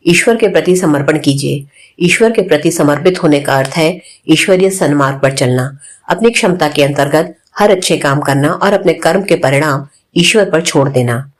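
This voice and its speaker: Woman, low voice, audiobook reader, spiritual self-help reading, plain instructive delivery.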